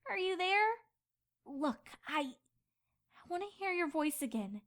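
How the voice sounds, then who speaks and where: A woman as high-voiced earnest young pony princess. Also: lively intonation, slow, fairly bright tone, exaggerated voice. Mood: hesitant, pleading. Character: young female pony princess, earnest, flustered, easily exasperated. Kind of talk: fan fiction audio drama acting.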